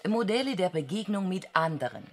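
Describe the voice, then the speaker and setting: An actress playing a thoughtful, well-read crew member in a German radio play, in a naturalistic, musing way. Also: female voice, fairly low pitch, animated, brisk, darkish tone, slight background noise. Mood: intent, searching.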